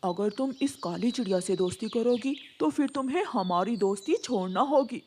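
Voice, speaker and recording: A woman's voice: fairly high pitch, lively intonation, moderate pace, darkish tone, slight background noise, natural voice. A woman voicing a judgmental, disapproving bird in a cartoon story, with a huffy manner. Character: snooty, judgmental bird, disapproving former friend.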